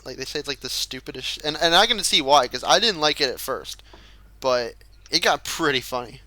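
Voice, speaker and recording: A young man chatting casually on a podcast, halting and repeating words, with lots of "like". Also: fairly high pitch, lively intonation, pushed and bright, dry recording. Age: young adult. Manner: hesitant, repeats words, says "like" often. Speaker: podcast co-host. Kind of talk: casual group chat.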